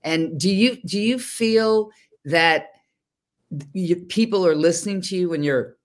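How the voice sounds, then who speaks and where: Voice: medium pitch, measured pace. A show host asking long, emphatic questions in a political interview.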